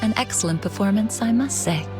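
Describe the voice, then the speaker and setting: A woman voicing a shrewd, composed female tavern keeper in a video game, smooth-talking and self-assured. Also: medium pitch, brisk, over music, lightly acted. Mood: cool, businesslike.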